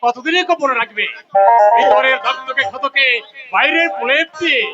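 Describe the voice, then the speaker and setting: Melodrama role: a grieving, wronged elderly father, rhetorical lament in an extremely high register over loud music. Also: normal speed. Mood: anguished concern. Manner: declamatory, rhetorical, sorrowful. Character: heartbroken old father, wounded, bitter, lamenting. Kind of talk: melodramatic stage drama acting. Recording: dull lo-fi drama recording, loud music.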